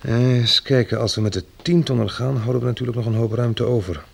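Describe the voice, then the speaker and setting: A man's voice: mid-range voice, brisk, vocal fry, slightly rough. An actor playing a practical husband and father surviving a catastrophe in a Dutch radio drama, with a dry, matter-of-fact way of talking. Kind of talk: Dutch radio drama acting, diary narration and dialogue.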